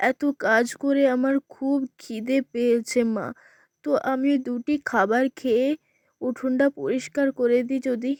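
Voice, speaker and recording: A woman's voice: high-pitched, dry recording, clean studio sound. Brief: frail old cartoon mother, put on by a woman, meek and pleading. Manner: pleading, gentle, weary.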